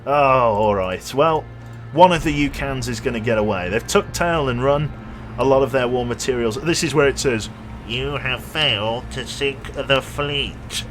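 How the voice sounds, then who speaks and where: A man's voice: medium pitch, a little slow, a bit bright. A young man streaming a game, rambling casually and chatting with his viewers. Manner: rambling, chatty, reads chat aloud and jokes. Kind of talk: casual live-stream chat while gaming.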